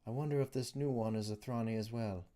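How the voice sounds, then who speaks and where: A man's voice: medium pitch, fast talker, warm tone, voice pushed up, lightly acted. A man voicing a wary male captive, curt and wry, in an audiobook reading. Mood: uneasy.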